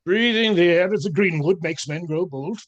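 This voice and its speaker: A man's voice: high voice, moderate pace, muffled recording. A man playing a genial, pious, apologetic outlaw in a radio play, speaking in a wry, placating, courtly way. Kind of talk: theatrical radio play acting.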